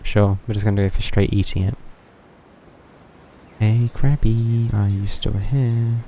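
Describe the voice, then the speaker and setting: A man's voice: lowish voice, little pitch movement, measured pace, mellow, a little hiss or hum. A man giving casual, dryly sarcastic live commentary as he streams a game.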